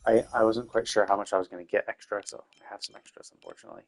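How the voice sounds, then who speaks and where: A man's voice: on the low side, fairly even intonation, very fast, vocal fry, soft background music. A man streaming a game live, thinking aloud in a loose, rambling way with lots of restarts. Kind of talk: casual live-stream commentary while playing.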